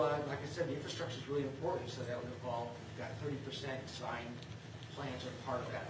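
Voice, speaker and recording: A man presenting a planning process at a community meeting, hesitant with many fillers. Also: a little high. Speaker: city staff presenter. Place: meeting room. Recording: echoey room, distant mic, background noise.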